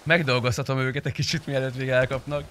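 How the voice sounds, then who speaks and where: A man's voice: medium pitch, flat delivery, fairly bright tone. A man, a streamer, bantering casually in character during an online roleplay game. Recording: faint game car noise behind. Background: game car and ambience sounds.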